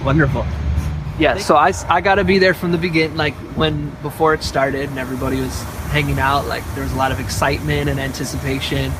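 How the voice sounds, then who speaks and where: An effusive, excited man introducing and conducting an interview as the host of a show, speaking outdoors. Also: a little high, quick pace, noisy background. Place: outdoors at an art installation.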